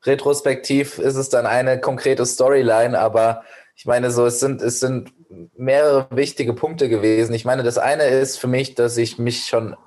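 A young man, a founder being interviewed. His voice sits at an ordinary pitch while he gives long, winding, earnest answers. He is full of fillers and quick restarts. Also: fairly even intonation, darkish tone. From Germany. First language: Persian. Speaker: startup founder, guest. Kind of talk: podcast interview.

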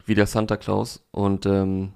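Male podcast host, a bit hoarse, low-ish voice, easygoing and talkative. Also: a little slow. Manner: relaxed, talkative, with frequent fillers. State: slightly hoarse. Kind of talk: casual music review chat.

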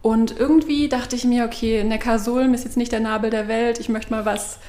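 Woman in her thirties, from Swabia, businesslike, flat even delivery, roomy echo. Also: a little high. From Swabia, Germany. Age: 30s. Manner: explains at length in a measured, businesslike way. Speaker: startup co-founder guest. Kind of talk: interview answers.

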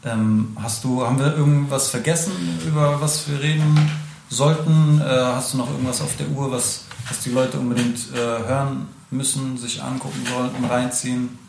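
A man's voice: mid-range voice, fairly even intonation, moderate pace, gravelly. Casual male interviewer, echoey room. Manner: friendly, hesitant with many fillers. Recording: echoey room, faint noise.

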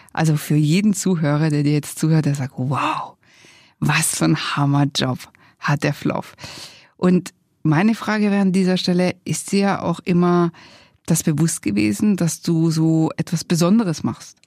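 A woman's voice: deep voice, a little slow, a little mellow, no room sound, studio quality. A woman hosting a conversational interview, reflective and encouraging, building up to long questions. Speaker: podcast host.

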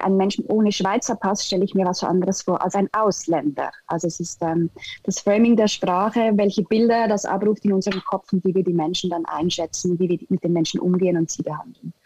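A woman's voice: mid-range voice, darkish tone, no room sound, rough recording. A young woman from Switzerland with a Swiss German accent, giving thoughtful, hesitant interview answers with many fillers. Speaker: interview guest, integration specialist.

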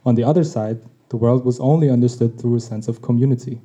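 A man whose mother tongue is Tagalog, reading a personal essay on his podcast in a reflective, measured way. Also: medium pitch, steady tone, dark tone. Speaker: podcast host. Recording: dull lo-fi recording.

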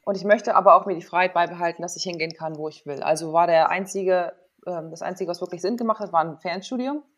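Candid, lowish-voiced woman telling her story. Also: quick pace, highs cut off. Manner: informal, candid, thinks aloud with self-quoted thoughts. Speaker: interview guest, former athlete and sports psychologist. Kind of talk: casual personal storytelling in an interview.

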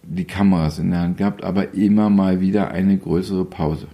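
A man in his fifties with a very low, mellow voice talks as a photographer in an interview. He rambles with wry, teasing humor and frequent hesitations. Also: fairly even intonation, moderate pace. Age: 50s. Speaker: photographer guest. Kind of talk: casual interview.

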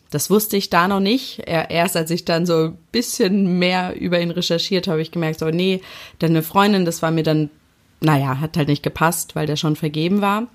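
A young woman candidly recalling how she met her partner, amused and full of fillers. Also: on the low side. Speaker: interviewee. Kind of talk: casual storytelling.

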